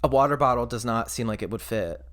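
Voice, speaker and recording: A man's voice: mid-range voice, fast talker, a bit bright. A man co-hosting a casual comedy podcast who talks nonstop, swears freely and laughs often. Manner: talkative and profane, laughing often. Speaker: podcast co-host.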